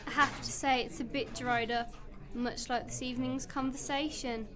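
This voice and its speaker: A woman's voice: sits high, steady tone, normal speed, close to an everyday voice. A woman in an amateur radio play acting a suspicious, exasperated wife, accusing and sarcastic. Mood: angry, reproachful.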